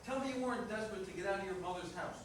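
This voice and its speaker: Guy acting a blunt restless ex-fiance in a play reading, high voice, lots of background noise. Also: very fast, close to an everyday voice. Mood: bitter, confiding. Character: restless, blunt ex-fiancé from an Italian-American family. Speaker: actor in a staged play reading. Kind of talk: staged reader's theater dialogue. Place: live staged reading in a reverberant room. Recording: echoey, noisy room, distant mic.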